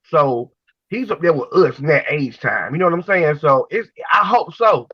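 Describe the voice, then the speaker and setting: A man's voice: a little high, expressive, normal speed, breathy edge, no echo, lo-fi sound. A man in his forties co-hosting in casual, slangy talk, rambling along and repeating his phrases. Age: 40s. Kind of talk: casual chat.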